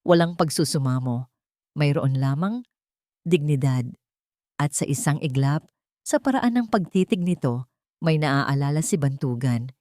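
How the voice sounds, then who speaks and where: A woman's voice: low voice, expressive, measured pace, long pauses, a little mellow, no room sound, clean studio sound. A woman narrating a scripted romantic drama as an audio storyteller.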